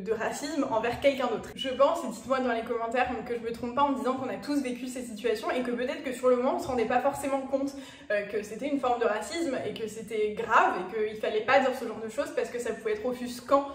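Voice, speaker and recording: Young woman, Parisian French accent, chatty vlogger, echoey room, slightly distant mic. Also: on the high side. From France. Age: 20s. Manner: chatty, direct address to viewers, frequent fillers. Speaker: YouTuber. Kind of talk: casual personal vlog talk.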